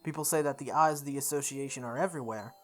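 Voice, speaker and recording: A let's play host reading a visual novel's story aloud in a deliberate way, with occasional stumbles. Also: mid-range voice. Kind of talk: read-aloud narration of a game's story. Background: background music.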